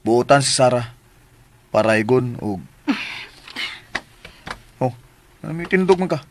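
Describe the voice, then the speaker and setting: An actor with a Cebuano Filipino accent and a husky voice playing an exasperated but apologetic, teasing sweetheart in a radio drama. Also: male voice, mid-range voice, lively intonation, slow pace. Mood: teasing, defensive.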